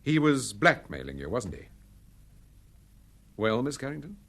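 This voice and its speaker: Gentleman detective, breathy-edged male voice, lively intonation, courteous yet probing, radio drama. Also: mid-range voice, measured pace, exaggerated voice. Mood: firm, probing.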